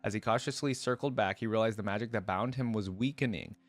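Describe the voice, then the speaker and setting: A man's voice: lowish voice, fast, dry recording. A man reading a story aloud as a reaction creator, breaking into casual commentary to pick it apart. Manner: stops to dissect and paraphrase what he reads. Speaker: video creator reacting to game lore.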